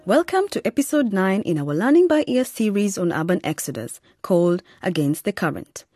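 A woman's voice: mid-range voice, very animated, brisk, warm tone, husky, no room sound, studio quality, soft background music. A woman delivering scripted narration as a narrator.

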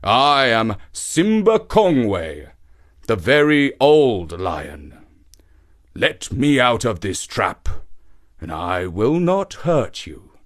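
A man voicing a very old male lion who speaks slowly and gravely in a storybook reading. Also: fairly bright tone, full character voice. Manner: slow, deep and grave.

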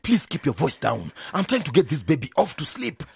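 A man's voice: fairly high pitch, sing-song, brisk, gravelly, breathy edge, no echo, clean studio sound, heavily acted. An actor playing a harried young father who talks in a coaxing, exasperated way in a radio drama. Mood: firm. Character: harried young father, patient but frazzled.

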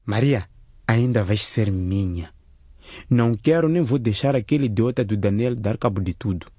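An actor playing a scheming, possessive teenage schoolboy with a husky voice in a radio drama. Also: male voice, on the low side, animated, normal speed, dark tone, no room sound, studio quality.

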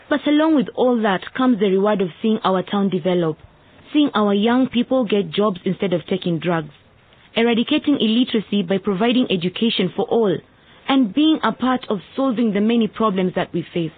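An actress playing an earnest, determined teenage daughter in a radio drama. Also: female voice, medium pitch, moderate pace, husky, phone-quality sound, close to an everyday voice. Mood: persuasive. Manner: earnest, speech-like persuasion. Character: earnest, determined nineteen-year-old daughter with political ambitions.

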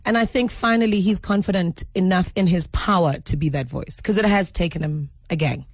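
A South African woman hosting a radio show in a conversational, playful and animated way. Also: fairly low pitch, a little fast, no echo. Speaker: radio host. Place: radio studio.

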